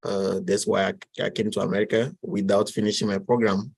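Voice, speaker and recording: Halting young man with French accent. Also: fairly low pitch, flat delivery, creaky, a little mellow, breathy edge. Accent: Togolese French. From Togo. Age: 20s. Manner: halting, many fillers and restarts. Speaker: guest. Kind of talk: casual interview answers.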